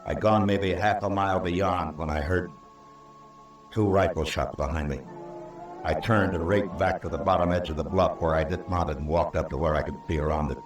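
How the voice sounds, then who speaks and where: A man acting as a weary frontier marshal with an extremely low voice. He talks in terse, measured, sardonic lines in old-time radio western style. The recording is roomy and distant. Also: fairly even intonation, soft background music, close to an everyday voice. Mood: somber narrating. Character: stern, weary Old West lawman, male marshal.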